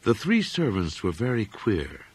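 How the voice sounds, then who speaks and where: A man narrating a literary horror story in a measured, formal storytelling manner. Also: on the low side, huge pitch swings, darkish tone, husky. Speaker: audiobook narrator.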